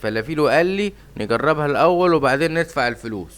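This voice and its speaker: A hasty Egyptian lion dad. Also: male voice, a little high, expressive, racing, fairly bright tone. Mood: eager. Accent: Egyptian Arabic. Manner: hasty, eager to please. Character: hurried, obliging father lion, king of the jungle.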